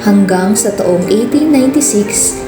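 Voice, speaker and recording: A woman's voice: mid-range voice, lively intonation, measured pace, natural voice. A woman playing a teacher who narrates a scripted story in an amateur radio drama. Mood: earnest. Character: female history teacher narrating a hero's story. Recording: echoey room, music behind.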